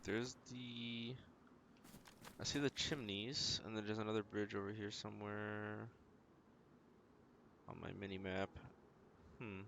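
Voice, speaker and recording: A man's voice: on the low side, level tone, a little slow, pauses often, fairly bright tone, slight background noise. A man giving casual gameplay commentary as he streams a survival game, muttering short, playfully quaint remarks to himself.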